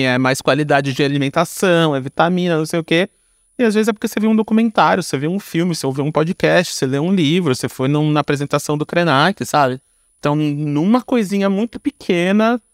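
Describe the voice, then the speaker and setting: A man's voice: a little high, no room sound, clean studio sound. A man in his forties with a Brazilian accent, talking casually and reflectively as an interview guest, using slang and everyday examples. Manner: informal, slangy, explains through examples. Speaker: podcast guest, storyteller and creator.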